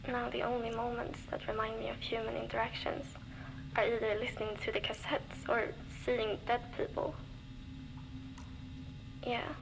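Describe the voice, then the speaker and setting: A gravelly, lonely wasteland survivor. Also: female voice, a little high. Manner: weary, blunt, swears often, dry gallows humour. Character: lonely, worn-down woman survivor in a frozen wasteland. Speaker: game character recording audio diaries. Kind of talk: scripted voice acting as a diary log. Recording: noisy cassette tape recording.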